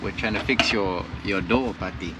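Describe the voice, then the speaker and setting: A man's voice: sits low, normal speed. A young Australian man chatting casually in a travel vlog, laid-back and full of filler words, recorded outdoors by a road. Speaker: travel vlogger. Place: outdoors by a road while driving a tuk-tuk. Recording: outdoor recording with clear traffic noise. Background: traffic and vehicle noise.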